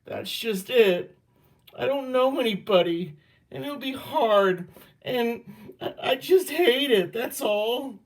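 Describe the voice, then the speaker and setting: A man reading a picture book puts on a reluctant female character. The voice is lifted high, whining and pleading with slow, stubborn anxiety. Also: lively intonation, heavily acted. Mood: upset, whining. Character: reluctant, anxious Sarah who refuses to get up, female. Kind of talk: picture book read-aloud.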